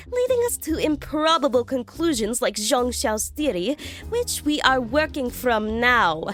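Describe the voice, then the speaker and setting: An intellectual female profiler, played by a woman in an audio drama, who lectures with formal precision. Her voice sits fairly high and moves with plenty of melody, yet she stays cool and methodical. Faint music plays underneath. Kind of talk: fan audio drama acting.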